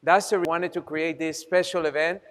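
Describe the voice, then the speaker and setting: A male co-host with a Colombian accent and a fairly high voice makes a fundraising appeal. He speaks to the audience in a big, reverberant hall. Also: fairly even intonation, quick pace, fairly bright tone. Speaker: event co-host. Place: large event hall. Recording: echoey hall PA sound.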